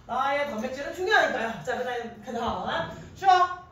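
A woman in her thirties teaching a grammar class in an animated, informal way, often quizzing students with questions. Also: high-pitched, moderate pace. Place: indoor classroom at a chalkboard. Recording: echoey classroom from a distance, faint noise.

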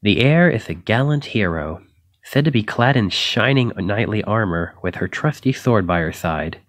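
A man's voice: fairly low pitch, lively intonation, normal speed, fairly bright tone, no room sound, clean studio sound. A man acting a shy, flustered, self-doubting young knight in an ASMR roleplay, stammering and hesitant as he apologizes. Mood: anxious, self-doubting.

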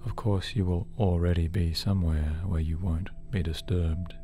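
A man narrating a guided sleep hypnosis session in a soothing, measured, deliberate way, as a hypnotherapist. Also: low-pitched, fairly even intonation, dark tone. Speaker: hypnotherapist host. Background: background music.

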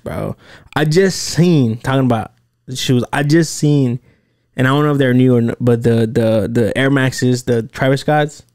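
A man's voice: mid-range voice, a little slow. A man in his thirties co-hosting a casual podcast, telling stories animatedly with plenty of swearing, into a studio mic in a small home studio. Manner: animated storyteller, heavy swearing. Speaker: podcast co-host. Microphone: boom-arm studio mic with headphones.

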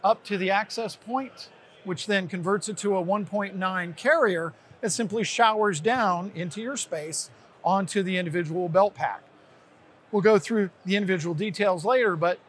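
An American man in his sixties with a husky voice, presenting a product to camera in an unscripted, explanatory, conversational way. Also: high-pitched, a bit bright. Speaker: product presenter, company representative. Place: large indoor trade show hall, at a booth.

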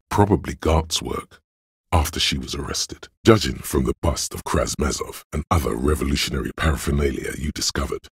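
Extremely deep, husky inner voice of logic. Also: male voice, dry recording, heavily acted. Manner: measured, deliberate, dry, analytical. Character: cool, sardonic inner voice of logic. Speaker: video game voice actor. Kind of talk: scripted game narration.

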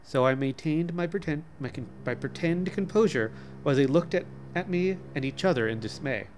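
Higher-pitched man reading a story aloud. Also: brisk, a little hiss or hum. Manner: stumbles and rereads words, expressive storybook delivery. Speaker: reader of a short story. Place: small office, indoors. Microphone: desk microphone in front of him.

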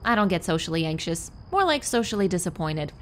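A woman's voice: on the low side, very animated, brisk, fairly bright tone, slight background noise. An actress playing a blunt, world-weary woman in an audio drama, dry and frank.

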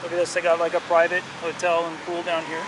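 A man's voice: on the high side, completely flat delivery, fast talker, background noise. A man in his thirties rambling casually with many fillers as he films a travel vlog, on an action camera held at arm's length outdoors. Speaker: travel vlogger. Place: outdoors on a ship deck and in town.

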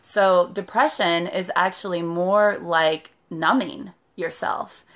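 A woman in her thirties, a therapist, with a raspy, hoarse voice, talking casually to viewers on a live stream and greeting them by name. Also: on the low side, steady tone, takes it slowly. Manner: chatty, greets viewers by name mid-sentence. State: hoarse from shouting. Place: living room with sofa, indoors. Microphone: phone camera at arm's length.

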